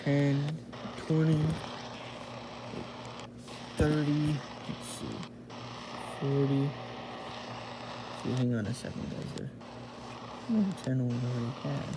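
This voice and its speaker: Halting man reviewing a gadget, noisy recording. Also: medium pitch, flat delivery. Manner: halting, thinking aloud while demonstrating. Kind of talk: casual hands-on product review. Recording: noisy homemade recording with radio static.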